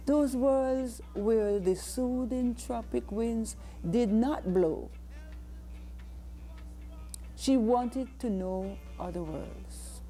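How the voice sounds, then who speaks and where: Slow Jamaican woman reciting poetry over music. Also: fairly high pitch, dark tone. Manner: deliberate, dramatic phrasing. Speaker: poet. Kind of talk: spoken-word poetry recital.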